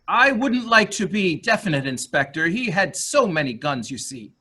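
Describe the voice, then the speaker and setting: A nervous, deferential manservant played by a man, with a bright, sharp voice on the high side. His pitch moves about a lot as he speaks, hesitant and obsequious, in a murder mystery reading. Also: very animated, moderate pace, slightly rough, heavily acted. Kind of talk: dramatic reading.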